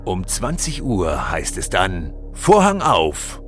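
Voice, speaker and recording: Very deep, slow storybook narrator played by a man. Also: huge pitch swings, exaggerated voice. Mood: wry, grand. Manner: theatrical, deliberate, tongue-in-cheek. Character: omniscient fairy-tale narrator, male, solemn and wryly ominous. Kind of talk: scripted spooky fairy-tale narration. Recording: music under the voice. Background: music.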